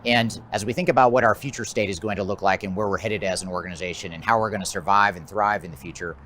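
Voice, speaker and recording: Low-voiced American man presenting over background noise. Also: steady tone, quick pace, fairly bright tone. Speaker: presenter, business technology consultant. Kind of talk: explanatory presentation of a trends list.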